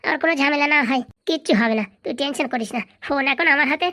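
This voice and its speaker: Lady doing a smug big sister, fast talker, shrugs everything off. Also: high voice, sharp tone. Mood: dismissive. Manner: dismissive, brushes off worries. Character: bossy elder sister, smug and reassuring.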